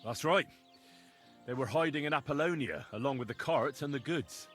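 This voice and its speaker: An actor playing a young medieval blacksmith's helper in a video game, cheeky and loyal, with a dry, plain-spoken manner. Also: male voice, mid-range voice, moderate pace, a bit bright, soft background music, natural voice. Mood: matter-of-fact. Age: young adult. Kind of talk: video game dialogue acting.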